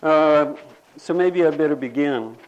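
An older man, telling folksy rambling stories to a gathering and clearing his throat now and then. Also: fairly high pitch, unhurried, lo-fi sound. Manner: folksy, drawling, says y'all. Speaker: storyteller at a community gathering.

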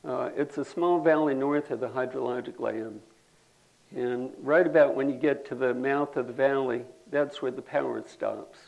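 Gravelly folksy older man. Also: medium pitch, fairly even intonation, normal speed, a little mellow, muffled recording. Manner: folksy, drawling, says y'all. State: clears throat often. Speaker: storyteller at a community gathering. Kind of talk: folksy rambling storytelling.

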